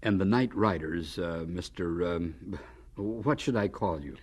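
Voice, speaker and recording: Polite male interviewer, fairly low, husky, expressive, curious, radio drama narration. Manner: polite, deliberate, probing.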